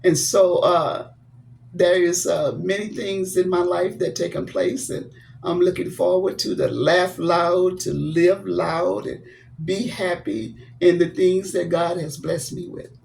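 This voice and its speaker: Slow, earnest woman in her seventies. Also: fairly low pitch, fairly even intonation, creaky, a little mellow, slight background noise. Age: 70s. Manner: devout, blessing viewers. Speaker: vlogger. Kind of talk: personal storytelling to camera.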